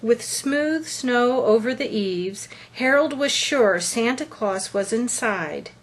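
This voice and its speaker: A woman reading a children's picture book aloud as a storyteller, with deliberate, expressive phrasing. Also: on the high side.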